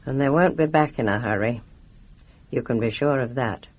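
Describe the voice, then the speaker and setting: Nosy, husky village gossip woman. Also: extremely low pitch, moderate pace, a little mellow, dull audio. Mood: knowing. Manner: confiding, advising, knowing. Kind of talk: radio drama acting.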